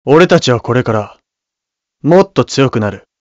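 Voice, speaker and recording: An actor voicing a proud, cool young surgeon who is an armored hero in a video game, speaking in curt, haughty, solemn declarations. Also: male voice, medium pitch, expressive, measured pace, a little mellow, no echo, dull audio, lightly acted. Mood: composed, determined. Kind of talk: video game battle voice lines.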